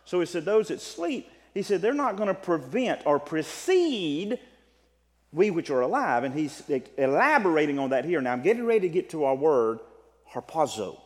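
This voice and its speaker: A man's voice: fairly high pitch, big pitch swings, moderate pace. Emphatic preacher man in echoing hall. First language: English. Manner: emphatic Bible teaching, swings between loud and soft. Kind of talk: sermon. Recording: long reverb of a hall.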